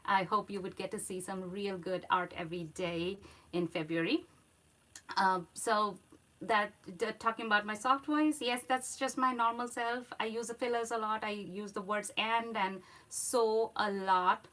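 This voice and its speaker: A woman hosting a casual art livestream, speaking English as a second language, with a soft voice and a slow, meandering delivery full of fillers and run-on sentences. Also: mid-range voice, fairly even intonation. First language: not English. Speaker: art channel host.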